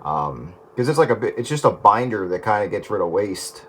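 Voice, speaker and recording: Casual male radio talker, a bit low, loose and rambling, light background hiss. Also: big pitch swings, brisk, a bit bright. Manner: rambling, self-correcting. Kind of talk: casual chat over the radio.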